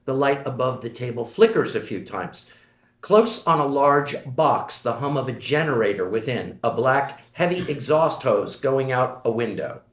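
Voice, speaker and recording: A man's voice: mid-range voice, animated, moderate pace. A man narrating scene directions from a screenplay at a table read. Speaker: stage directions reader. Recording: echoey room.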